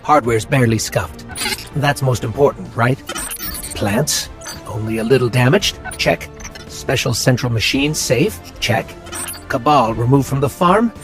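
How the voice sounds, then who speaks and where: An earnest little robot sidekick from a sci-fi game, with a lively, expressive delivery at a medium pitch. He sounds curious and slightly anxious, chatting and questioning over background music. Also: measured pace, vocal fry. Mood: alert, businesslike. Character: small male robotic Ghost companion, earnest, curious, anxious. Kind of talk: scripted game dialogue.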